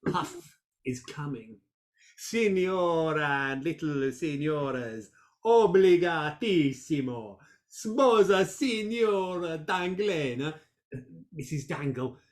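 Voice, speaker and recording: A man playing an excitable, self-satisfied gentleman critic in a period stage comedy, exclamatory and blustering. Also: a little high, slow pace. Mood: gushing. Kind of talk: theatrical period comedy play reading.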